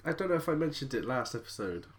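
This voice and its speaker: A British man co-hosting a podcast in casual chat, wry and quick to laugh. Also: medium pitch, very animated. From Britain. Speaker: podcast co-host.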